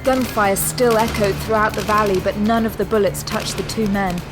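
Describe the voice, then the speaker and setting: Female storyteller, mid-range, dramatic scripted narration for radio drama, loud score underneath. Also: medium pitch, steady tone, moderate pace, over music.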